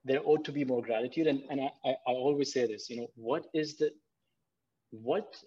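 A man's voice: medium pitch, normal speed, warm tone, husky. Earnest man talking in restarts, muffled. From Pakistan. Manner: earnest, repeats words while thinking. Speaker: podcast guest. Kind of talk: casual conversation.

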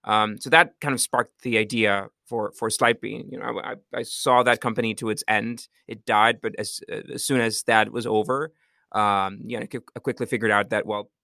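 A man from Costa Rica talks about founding his company, his voice slightly low but bright and forward. The sound is dry and close, like a remote call. He rambles, restarts sentences and laughs easily. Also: steady tone, moderate pace, gravelly, dry recording. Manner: rambling, self-correcting, laughs often. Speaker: startup founder and CEO. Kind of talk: casual interview answers.

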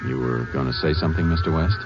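An actor playing a calm, steady Texas Ranger in a radio crime drama, speaking in a measured, matter-of-fact way. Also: male voice, rumbling low. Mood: calm, guarded. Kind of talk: 1950s radio crime drama acting with narration. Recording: muffled old radio broadcast with music.

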